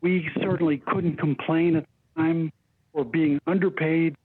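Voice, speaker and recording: Older guy on a phone-sounding line, halting and careful, looking back in an interview. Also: on the high side, steady tone, slightly rough, no echo. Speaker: interview guest, former union spokesperson. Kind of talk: reflective personal recollection in interview. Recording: thin phone-like line, dry.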